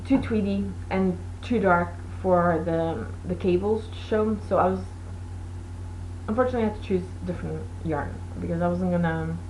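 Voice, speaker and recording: Woman host, Portuguese native, low-ish voice, easygoing rambling delivery with faint background noise. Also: a little mellow, rough recording. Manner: rambling, chatty, many fillers. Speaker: knitting podcast host. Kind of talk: casual chat.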